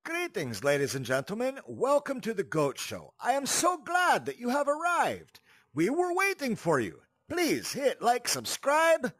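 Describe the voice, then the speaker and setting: A man's voice: extremely high pitch, wildly swooping pitch, measured pace, a bit bright, dry recording, studio quality, pitched above the performer's own voice, full character voice. A man playing a grandly welcoming show announcer, giving a theatrical scripted greeting in a showy, sing-song manner.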